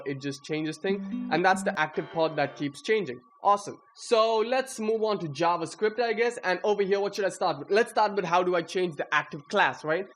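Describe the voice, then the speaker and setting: A young man giving a casual, slangy walkthrough of his code, excitable and self-deprecating. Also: sits high. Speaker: coder presenting own project. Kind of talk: casual screen-recorded coding walkthrough.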